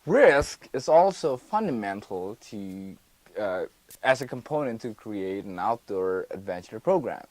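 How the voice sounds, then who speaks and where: A young man from Indonesia, a student guest on a mock TV interview, explaining his course in a careful, halting way with frequent restarts. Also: on the low side, big pitch swings, dry recording, rough recording.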